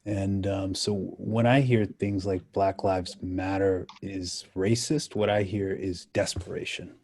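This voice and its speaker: A man from Ethiopia speaking as a panelist in a reflective, deliberate and halting way, with emphatic storytelling. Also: low voice, little pitch movement, a little mellow.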